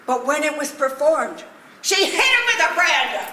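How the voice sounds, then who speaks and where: A woman's voice: high-pitched, very animated, a little slow, bright, forceful tone, heavily acted. A woman on stage playing an effusive, exclamatory actress who worships her playwright, in a comedic play. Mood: eager, gushing. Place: live stage at a one-act play festival. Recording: roomy stage sound with noise.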